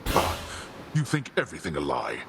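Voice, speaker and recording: A man playing a stern, embittered old god-king father in a video game, speaking gravely and formally with scorn. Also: rumbling low, wildly swooping pitch, normal speed, very noisy, exaggerated voice. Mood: bitter, scornful. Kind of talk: dramatic video game acting.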